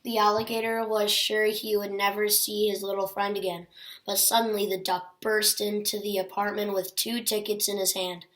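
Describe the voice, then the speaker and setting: A woman's voice: mid-range voice, little pitch movement, moderate pace, a bit bright, low-quality recording. A woman reading a children's picture book aloud as a storyteller.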